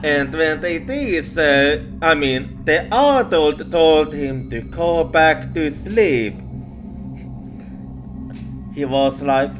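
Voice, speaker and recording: Slow-talking man telling an ASMR ghost story. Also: fairly high pitch, expressive. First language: not English. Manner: halting, repeats words. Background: music.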